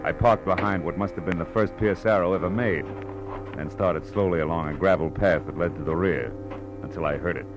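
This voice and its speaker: Actor as a deep-voiced wry private detective. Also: male voice, brisk, over loud music, close to an everyday voice, poor audio. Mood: grim, terse. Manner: dry, terse, wisecracking. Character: wry, hard-boiled male private detective. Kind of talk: 1940s radio noir acting and narration.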